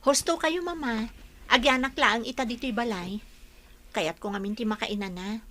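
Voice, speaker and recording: A woman playing a frank, expressive young single woman in an audio drama. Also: fairly high pitch, normal speed. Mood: wistful. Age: young adult. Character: young single woman, hospital worker, still heartbroken, frank. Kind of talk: Ilocano audio drama acting.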